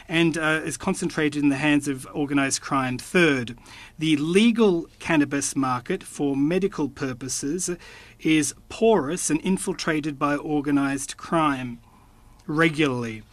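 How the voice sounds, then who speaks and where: A man interpreting formal committee testimony, with a measured, halting delivery. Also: a little high, no echo.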